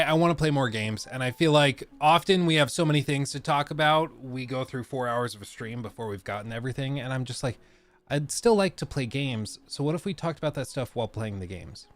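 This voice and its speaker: A man's voice: medium pitch, a bit bright. A young adult man with a stuffed-up nose, hosting a casual live stream and rambling with lots of fillers. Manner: rambling, chatty, many fillers.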